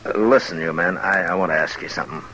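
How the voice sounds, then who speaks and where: An actor playing a stern, steady U.S. marshal in an old-time radio western, measured, authoritative and plain-spoken. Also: male voice, deep voice, a little hiss or hum, rough recording. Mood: questioning, steady.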